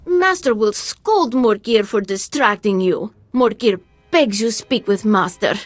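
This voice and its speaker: Grovelling alien servant in a game, real slow talk, dry sound, deferential. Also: female voice, mid-range voice, lively intonation, no echo, faint music behind. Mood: fawning. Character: servile, fawning alien servant speaking in third person. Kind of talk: video game cutscene acting.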